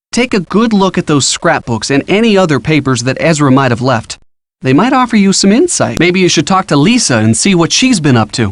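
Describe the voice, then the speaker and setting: A man's voice: on the high side, no echo. An actor playing a helpful young male friend who coaches a detective in a video game, with an earnest, encouraging delivery. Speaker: hint-giving game character. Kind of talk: scripted video game voice acting.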